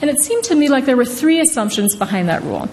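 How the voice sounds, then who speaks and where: A woman's voice: high-pitched, sing-song, fast talker, darkish tone. A woman in her thirties from Indonesia giving an informal academic talk as a law professor, conversational and often checking in with "right?". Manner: conversational, frequently tags points with "right?". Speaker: law professor, author presenting her book. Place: large wood-panelled courtroom-style hall. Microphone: podium microphone. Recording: reverberant wood-panelled hall, some noise.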